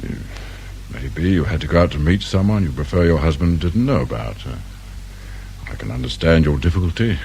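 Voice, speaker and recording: An actor with a British accent playing a shrewd, dry, irritable detective inspector in a radio crime drama. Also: male voice, low-pitched, expressive, fairly quick, a little mellow, a little hiss or hum. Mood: probing, measured. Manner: probing, brusque, sardonic.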